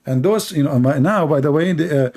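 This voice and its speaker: A man's voice: fairly high pitch, lively intonation, fairly quick, a little mellow. A man, hosting a commentary show and mocking video clips in a sarcastic, rambling way with many fillers.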